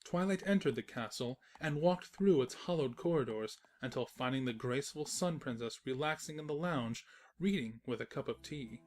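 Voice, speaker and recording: A man's voice: mid-range voice, expressive, normal speed, darkish tone. A man reading scripted story narration as the narrator. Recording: music behind the voice.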